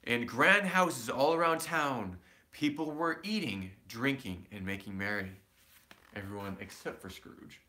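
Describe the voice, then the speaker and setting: Male parent reading to children: expressive, measured, forceful clear-edged tone, explains things simply along the way. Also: medium pitch. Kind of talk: animated storybook reading with asides to children.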